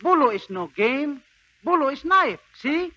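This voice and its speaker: Eager, very high-voiced young recruit. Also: male voice, big pitch swings, a little slow, sharp tone, no echo, exaggerated voice. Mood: polite, insistent. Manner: broken English, polite, insistent. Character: eager, polite, stubborn young Filipino military recruit. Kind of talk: 1940s radio drama acting. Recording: dull 1940s radio broadcast recording.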